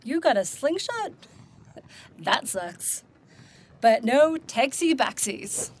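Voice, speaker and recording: A woman's voice: high-pitched, very animated, takes it slowly, full character voice. A woman playing a blunt, sarcastic and grumpy young dead woman in a fantasy audio drama. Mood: wry. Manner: sarcastic, casual, slangy.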